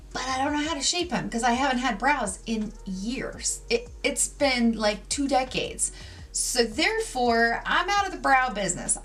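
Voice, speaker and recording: A woman in her forties talking through a makeup tutorial at home, with gossipy, animated storytelling and lots of "like"s. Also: fairly high pitch. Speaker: beauty vlogger. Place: home, sitting at a makeup table.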